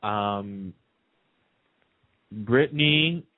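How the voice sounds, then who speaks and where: A man's voice: on the low side, very slow, no room sound. A man hosting a talk show, chatting casually in an enthusiastic, opinionated, gossipy way. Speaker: radio talk show host. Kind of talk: casual chat about TV soaps. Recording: narrow tinny phone-line sound.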